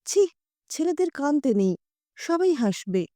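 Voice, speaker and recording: A woman's voice: high voice, sing-song, a little slow, warm tone, dry recording, clean studio sound. A woman voicing an anxious, scolding mother who nags reproachfully in an audio story. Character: scolding, anxious mother nagging her son.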